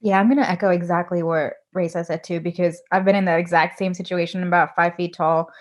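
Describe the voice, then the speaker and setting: Chatty woman resident, lowish voice. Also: steady tone, quick pace. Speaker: surgical resident. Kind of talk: panel discussion.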